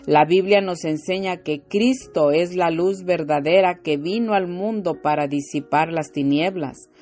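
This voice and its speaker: Woman preacher, low voice, slow and fervent, throat a bit scratchy, soft music in the back. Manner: slow, fervent, frequent hallelujahs and amens. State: slightly irritated throat. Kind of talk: devotional sermon with scripture reading.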